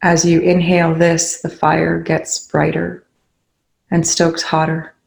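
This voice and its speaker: A meditation leader guiding listeners in a soothing, unhurried way, with pauses between short instructions. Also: mid-range voice, completely flat delivery, vocal fry, rough recording. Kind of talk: guided meditation.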